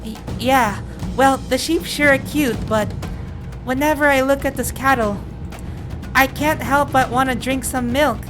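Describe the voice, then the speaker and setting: A lazy young giant shepherd from a fantasy game, voiced by a woman, easygoing and childlike. The voice is high, over clearly audible music. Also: fairly even intonation, a little slow. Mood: sheepish, craving. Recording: music behind the voice.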